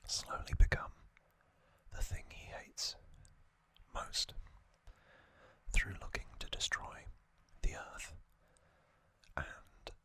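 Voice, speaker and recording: Slow, bright whispering man. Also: dry recording. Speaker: host of a whispered trivia and review show. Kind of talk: ASMR whispered commentary.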